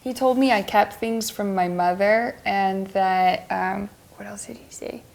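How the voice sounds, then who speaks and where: A woman's voice: medium pitch, steady tone, unhurried, vocal fry, a little mellow. Candid woman in casual conversation. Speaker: guest painter reviewing photographs. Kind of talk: casual chat. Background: faint music.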